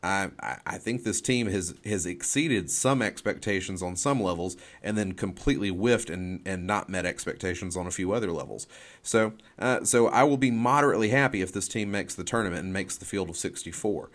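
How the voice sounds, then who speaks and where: Low-voiced man talking sports. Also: brisk. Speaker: sports podcast co-host. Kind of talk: casual sports debate and game picks.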